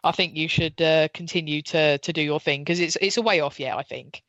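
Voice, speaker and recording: A woman's voice: sits low, steady tone, a little fast, a bit bright, dry recording, dull audio. A woman co-hosting a casual gaming podcast, talking in an encouraging way with hesitant restarts. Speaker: podcast co-host. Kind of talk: casual chat.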